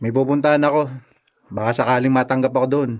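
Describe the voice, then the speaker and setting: A man's voice: mid-range voice, fairly even intonation, fast, lightly acted. A man playing an irresponsible, hot-tempered, abusive young husband in a Tagalog radio drama, irritable and bullying. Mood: demanding, annoyed.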